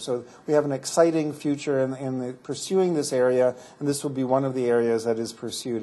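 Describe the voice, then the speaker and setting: Brief: male medical researcher at a lectern, explanatory and halting, mellow timbre. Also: fairly high pitch. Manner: explanatory, frequent restarts and fillers. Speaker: medical researcher presenting findings. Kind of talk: academic conference talk with Q&A.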